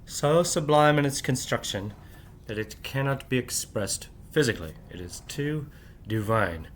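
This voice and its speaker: A man's voice: medium pitch, expressive, unhurried, a little hiss or hum. A middle-aged man talking alone at night, rambling and asking "right?" after nearly every thought, keeping his voice down so he won't wake his family. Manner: rambling, repeats "right?" often; keeps voice down while family sleeps. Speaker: channel host. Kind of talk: late-night monologue to camera.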